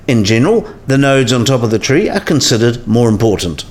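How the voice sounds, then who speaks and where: A man playing a cynical, sidelined professor in a radio play, explaining things in a wry, didactic way with measured phrasing. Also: medium pitch, big pitch swings, close to an everyday voice. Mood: dry lecturing. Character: cynical, sidelined male professor giving career advice.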